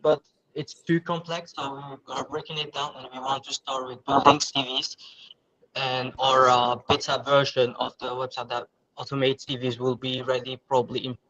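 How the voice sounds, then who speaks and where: Guy pitching his startup, steady and monotone, few uhs. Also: dull audio. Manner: measured, steady explanation with some fillers. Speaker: audience member, startup founder.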